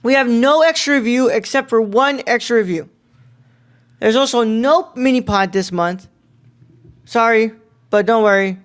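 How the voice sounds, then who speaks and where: A man's voice: extremely high pitch, normal speed, long pauses, clear, resonant tone. A man co-hosting a film podcast, talking casually and informally. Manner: informal, mild swearing. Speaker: podcast co-host.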